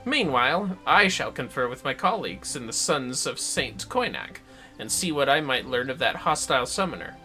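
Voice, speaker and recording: A man's voice: medium pitch, animated, pushed and bright, soft background music. A man voicing a courteous, earnest, scholarly summoner from a fantasy game, speaking formally and articulately. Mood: earnest, instructive. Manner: formal, articulate, measured wording.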